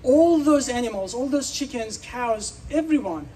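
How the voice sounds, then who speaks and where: A man's voice: very high-pitched, lively intonation, unhurried, dark tone, distant mic, slight background noise. A man from Iran giving an earnest, persuasive speech at an outdoor festival, putting questions to the crowd. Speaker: speaker.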